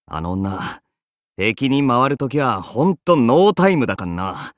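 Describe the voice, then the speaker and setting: Young game archer: roguish, wry, teasing slacker, casual drawl, animated intonation, dry studio sound. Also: male voice, medium pitch, unhurried, dry recording. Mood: exasperated. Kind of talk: Japanese mobile game character voice lines.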